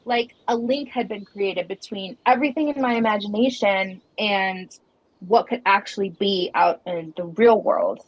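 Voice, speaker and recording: A woman plays an inquisitive camper in a radio play. She has a medium-pitched voice and speaks in an earnest, reflective, conversational way. The sound is very dry and a little dull. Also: moderate pace, dry recording, natural voice. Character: earnest, inquisitive female camper with creative blocks. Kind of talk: conversational audio drama dialogue.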